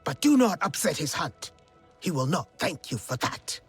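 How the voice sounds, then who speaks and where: Very husky alien hunting mentor. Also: female voice, deep voice, sing-song, normal speed, full character voice. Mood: wry. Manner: probing, skeptical. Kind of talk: video game cutscene acting. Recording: music behind the voice.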